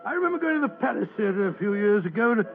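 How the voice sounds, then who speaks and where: An actor playing a fussy, easily delighted English gentleman sidekick, effusive and old-fashioned, with a British accent, in a radio drama. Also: high-pitched, sing-song, quick pace, creaky, heavily acted. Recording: tinny old radio, music behind.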